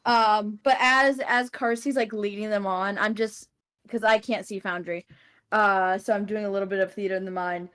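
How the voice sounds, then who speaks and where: A woman's voice: mid-range voice, steady tone, moderate pace, fairly bright tone, smooth. A woman chatting casually and improvising narration of her character as a tabletop game player.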